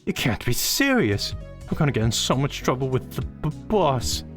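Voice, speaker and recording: A man voicing a timid, panicky little male ghost who squeaks and stammers when nervous. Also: sing-song, moderate pace, over music, natural voice.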